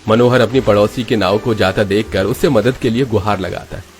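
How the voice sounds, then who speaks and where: A man's voice: lowish voice, a little fast. A man giving dramatic scripted narration of a story.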